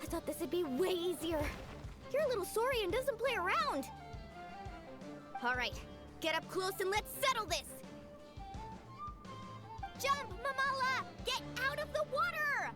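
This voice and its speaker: A woman's voice: very high-pitched, sing-song, unhurried, pauses often, exaggerated voice. A woman playing a spirited, blunt young competitor and good sport in a video game. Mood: feisty. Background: game music.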